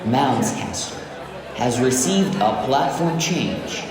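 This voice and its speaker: A man reading scripted public address announcements in a formal, deliberate, carefully enunciated way. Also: mid-range voice, lively intonation. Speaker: English teacher voicing train station announcements. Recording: very echoey station hall with crowd noise. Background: busy station crowd ambience.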